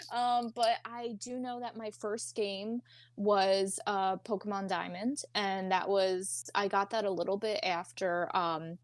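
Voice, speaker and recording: Female podcast guest, 20, Philadelphia accent, informal and jokey chat, mid-pitched, very dry sound. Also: flat delivery, takes it slowly, no room sound. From Philadelphia area and South Jersey, United States. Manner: informal, slangy, joking. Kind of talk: casual chat.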